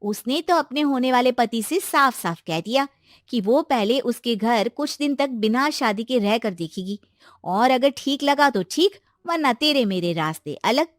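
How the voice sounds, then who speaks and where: A chatty young college friend voiced by a woman in a cartoon story, conversational and well-meaning. Her voice is high and lively, recorded dry. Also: a little slow, no room sound. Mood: helpful.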